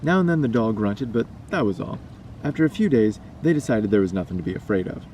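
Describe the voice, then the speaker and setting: A man's voice: fairly low pitch, lively intonation, fast, darkish tone. A man reading a scary story aloud as a storyteller. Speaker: storyteller and vlogger. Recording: distant mic, noisy background.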